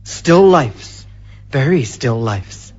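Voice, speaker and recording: An actor playing a mild, hesitant husband turned unhinged strangler in a radio drama, with a husky, stammering voice. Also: male voice, mid-range voice, expressive, drawn-out words, a little mellow, heavily acted. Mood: dreamy, unhinged. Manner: hesitant, stammering, repeating words. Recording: dull lo-fi radio recording with music.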